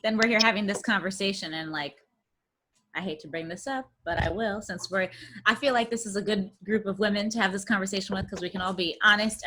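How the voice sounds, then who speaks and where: A woman's voice: lowish voice, sharp tone, poor audio. A woman co-hosting a podcast, talking things through casually and thoughtfully, searching for her words. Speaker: podcast co-host. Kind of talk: casual chat.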